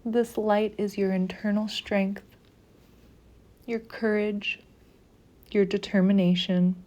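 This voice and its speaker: Female meditation guide: soothing, mellow tone, very slow measured delivery with long pauses. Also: mid-range voice, level tone, muffled recording.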